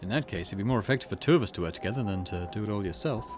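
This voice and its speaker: A man voicing a confident young curse bearer in a visual novel, calm, measured and coolly calculating. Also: on the low side, faint music behind, close to an everyday voice. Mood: calm, persuasive.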